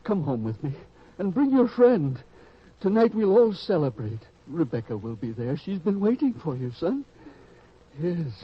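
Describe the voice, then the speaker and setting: Loving elderly father, old-time radio style, declamatory yet gentle, rough-edged voice, dull vintage recording. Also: high-pitched, exaggerated voice. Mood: joyful. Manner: earnest, tender, declamatory.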